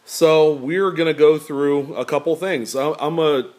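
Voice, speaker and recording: A man with a deep, slightly nasal voice talking casually to camera about his coin collection, rambling with many fillers. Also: steady tone, unhurried, darkish tone. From California. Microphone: camera pointed at table, speaker off screen.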